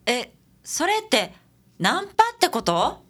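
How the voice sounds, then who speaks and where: Woman playing a bright, pert Kansai detective's assistant. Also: fairly high pitch, a little slow, husky, exaggerated voice. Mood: curious, excited. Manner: excitable, casual. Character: pert, nosy young female detective's assistant. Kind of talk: radio drama dialogue.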